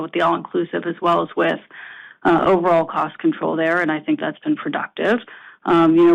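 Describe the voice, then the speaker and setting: Male executive, fairly high and even-toned, measured corporate delivery, lo-fi conference call sound. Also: creaky. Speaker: company executive. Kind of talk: earnings call answer. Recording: dull, lo-fi call audio.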